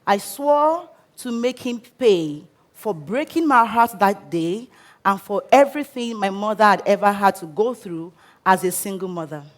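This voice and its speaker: Slow, earnest Nigerian woman storyteller. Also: medium pitch, lively intonation. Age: 30s. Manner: earnest, measured storytelling with pauses. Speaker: speaker. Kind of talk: personal story talk on stage. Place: stage before a live audience.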